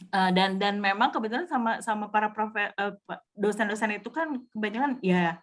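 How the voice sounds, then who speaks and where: A woman's voice: mid-range voice, brisk. A woman sharing her experience in a casual group chat over a video call, hesitant, with frequent filler sounds and restarts.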